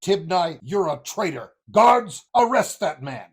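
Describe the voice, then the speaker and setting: A man's voice: sits high, very animated, a little slow, husky, full character voice. An actor playing a confident, proud army commander who becomes king, in a Bible audio drama, speaking in an assured way. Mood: indignant.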